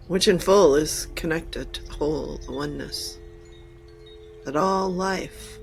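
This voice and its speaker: Very slow-speaking woman guiding meditation. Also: fairly low pitch, creaky. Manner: deliberate, halting short phrases with formal wording. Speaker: meditation guide and channeler. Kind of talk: guided meditation, channeled message.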